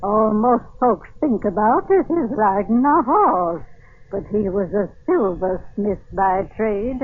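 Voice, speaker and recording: A woman's voice: mid-range voice, expressive, takes it slowly. A crusty old woman tells mysterious family lore with scornful emphasis. She takes her time over each phrase. It is 1940s radio acting, heard through a thin, tinny recording. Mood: mysterious, confiding. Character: crusty old great aunt, scornful, spellbinding tale-teller.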